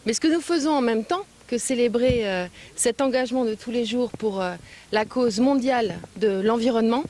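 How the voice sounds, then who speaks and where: A woman speaking as an official at a public event, phrasing deliberately with frequent hesitant pauses, recorded on location. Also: a little high, a little mellow. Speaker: European Union official giving a speech and interview. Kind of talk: public speech and press remarks.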